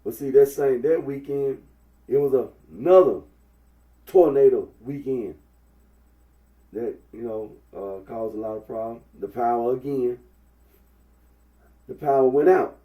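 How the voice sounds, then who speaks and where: A young man from with a head cold, talking through a face mask in a rambling, halting monologue full of fillers. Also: medium pitch, phone-quality sound. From Memphis, Tennessee. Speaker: vlogger. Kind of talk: casual Facebook Live monologue. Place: indoors at home. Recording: echoey room, mic at a distance.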